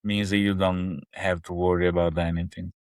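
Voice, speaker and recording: A man's voice: deep voice, moderate pace, no echo, studio quality. A man in his fifties with an Italian accent, telling rambling stories in a casual podcast chat. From Italy. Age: 50s. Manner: rambling storyteller, animated. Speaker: podcast co-host.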